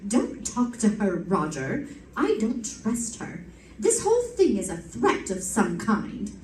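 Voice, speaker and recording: An actress playing a haughty, suspicious middle-aged society wife in a radio drama, stiff and snobbish. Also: female voice, mid-range voice, wildly swooping pitch, takes it slowly, darkish tone. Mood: haughty, disapproving. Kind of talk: old-time radio drama acting. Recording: roomy, distant, faintly noisy stage recording.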